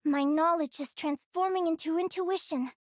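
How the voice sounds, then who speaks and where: A woman voicing a curious young female explorer in a video game, speaking in an earnest, expressive, youthful way. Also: very high voice, normal speed, no echo, studio quality. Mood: awed, confident. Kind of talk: English video game character voicelines.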